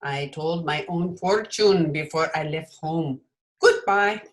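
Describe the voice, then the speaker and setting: Woman playing a slow, deliberate foreign piano teacher. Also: sits low. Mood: matter-of-fact. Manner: foreign-English syntax, deliberate speech. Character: female piano teacher and fortune teller, foreign, blunt. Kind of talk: old-time radio comedy acting.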